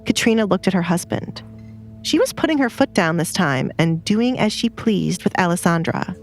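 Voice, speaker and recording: A woman's voice: fairly low pitch, expressive, normal speed, faint music behind. A woman reading scripted story narration as the narrator.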